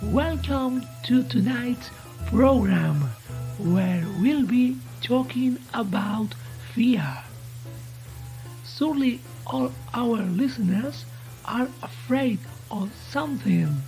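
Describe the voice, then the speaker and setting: An eerie radio presenter played by a woman speaks in a lifted pitch, slow and formal. The horror-story figure is composed, knowing and menacing, over faint music. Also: lively intonation, mellow, low-quality recording. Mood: calmly ominous. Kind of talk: late-night radio programme presenting.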